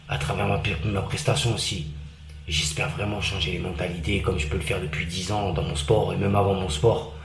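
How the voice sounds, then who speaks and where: A man's voice: low voice, a little hiss or hum, poor audio. A man from France, talking casually and earnestly to the camera as a vlogger, repeating phrases for emphasis. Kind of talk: casual vlog chat to camera.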